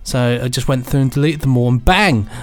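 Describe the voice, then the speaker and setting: A British man co-hosting a podcast in casual, rambling comic banter with a deadpan, mock-indignant manner. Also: medium pitch, brisk. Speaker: podcast co-host.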